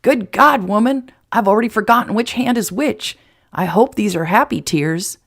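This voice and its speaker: A woman's voice: low-pitched, lively intonation, moderate pace, gravelly, full character voice. A woman voicing an earnest, lovestruck, plain-spoken small-town sheriff in an audiobook romance, fond and a little exasperated. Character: earnest, blunt, lovestruck adult male sheriff turned rancher.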